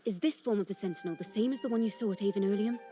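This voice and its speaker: A woman voicing a gentle, earnest young bard in a video game story, speaking in a measured, contemplative and poetic way. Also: mid-range voice, lightly acted. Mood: serious, resolute. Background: background music.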